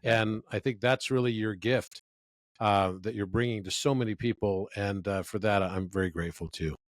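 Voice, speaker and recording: A man's voice: on the low side, normal speed, no echo. A man in his fifties, a guest in a conversational interview, telling stories with enthusiasm. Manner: enthusiastic, storytelling.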